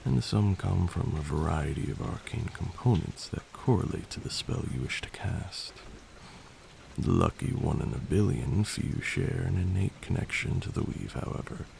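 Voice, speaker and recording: A man playing a patient, stern vampire lord who teaches magic in a measured, formal ASMR roleplay. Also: low voice, lively intonation, creaky, a little mellow, slight background noise, heavily acted. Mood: solemn, instructive.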